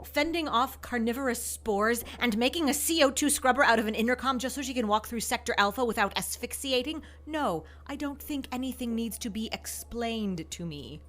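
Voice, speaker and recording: An actress playing a proud, prickly scientist on the run, who speaks in an imperious, sarcastic way. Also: female voice, a little high, moderate pace, hardly any pauses, fairly bright tone, lightly acted. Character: proud, prickly woman scientist, starving fugitive. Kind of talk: audio drama acting.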